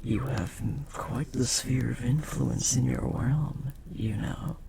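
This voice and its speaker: A man voicing a powerful, possessive demon bound to a master, speaking formally and theatrically in a breathy, gravelly voice in an audio roleplay. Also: mid-range voice, slow, mellow. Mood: proud, devoted. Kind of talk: dramatic audio roleplay monologue. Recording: clear background noise, ambience.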